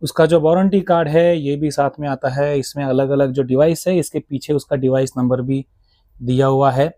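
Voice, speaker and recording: A man's voice: medium pitch, fairly even intonation, moderate pace, mellow, no echo. A man with an Indian accent, speaking as a shop seller who explains products in detail, conversationally switching between two languages. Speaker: motorcycle gear shop seller. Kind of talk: conversational product explanation in mixed Hindi and English.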